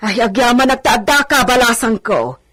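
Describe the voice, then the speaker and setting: A woman's voice: a little high, lively intonation, normal speed, fairly bright tone. A woman playing an anxious, persistent older village servant in a radio drama, earnest and coaxing.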